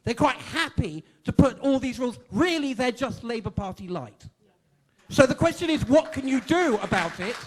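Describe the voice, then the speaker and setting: An older man speaks loudly from the audience of a large hall as a local party chairman. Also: very high-pitched, expressive, normal speed. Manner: loud, declaiming voice.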